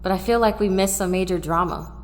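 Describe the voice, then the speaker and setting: A woman's voice: medium pitch, fairly quick, lightly acted. An actress playing a candid, bewildered teenage girl superhero recruit in an audio drama, talking casually. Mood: puzzled. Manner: casual, frank. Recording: reverberant hall sound.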